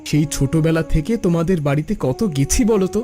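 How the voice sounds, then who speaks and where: A high-voiced young male neighbour , acted by a man for a cartoon, helpful and earnest. He talks in an eager, reassuring way over faint music. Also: fast talker, warm tone, lightly acted. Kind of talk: Bengali cartoon voice acting.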